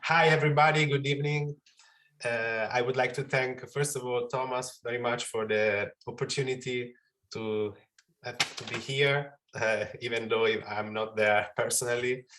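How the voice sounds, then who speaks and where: Man, remote panelist, bright voice, polite formal greeting. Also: medium pitch, steady tone, moderate pace. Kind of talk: roundtable introduction.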